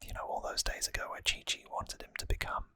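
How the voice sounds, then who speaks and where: Close, hushed ASMR whisper, bright and breathy. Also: quick pace, dry recording. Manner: hushed, relaxed, rambling casual explanation. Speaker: ASMR video host. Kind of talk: whispered ASMR trivia recap. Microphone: close microphone.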